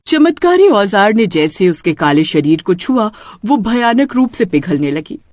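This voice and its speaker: A woman's voice: medium pitch, big pitch swings, measured pace, darkish tone, no room sound. A woman narrating a scripted dramatic story as storyteller.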